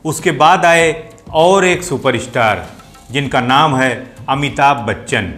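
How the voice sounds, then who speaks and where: Male host, mid-pitched, eager explainer with admiring asides, soft music under him. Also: animated, slow pace. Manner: enthusiastic, admiring, rhetorical asides. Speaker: host explaining playback singing. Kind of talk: explanatory talk to viewers.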